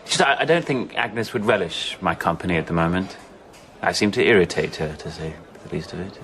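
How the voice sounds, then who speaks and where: Frustrated lovelorn younger brother. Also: male voice, sits low, a little fast, a bit bright, a little hiss or hum. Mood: hesitant, dejected. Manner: exasperated, hesitant. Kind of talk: radio drama acting.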